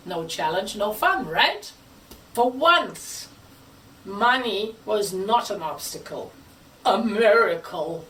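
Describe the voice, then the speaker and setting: A woman telling a story in a theatrical, scripted way, with dramatic pauses and playful asides. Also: medium pitch. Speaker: theatre company member telling its history. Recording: faint background noise, distant microphone.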